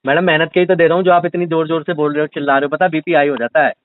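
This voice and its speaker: A man's voice: on the high side, steady tone, racing, smooth. A man arguing on a phone call, teasing and defiant, sometimes pleading in mock fashion. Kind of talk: argumentative phone conversation. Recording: muffled, band-limited phone call recording.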